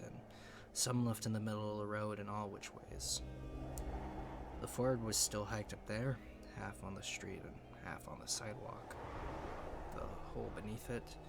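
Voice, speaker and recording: Low, gravelly young survivor played by a man. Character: weary young male survivor recording a log in an abandoned city. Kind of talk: dramatic first-person audio log narration.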